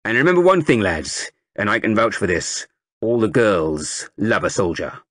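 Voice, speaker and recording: A man's voice: fairly low pitch, animated, normal speed, fairly bright tone, dry recording, studio quality. A man voicing a blustering middle-aged army sergeant major who whips up a crowd with a rousing recruiting speech.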